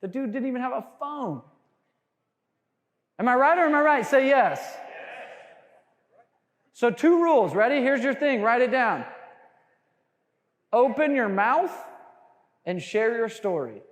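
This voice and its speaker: A man giving a lively sales training talk to a live audience, joking with the crowd and asking for hands. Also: very high voice, measured pace, long pauses. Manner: animated, jokey, quizzes the crowd. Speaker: sales trainer speaking to a room. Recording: long hall reverb.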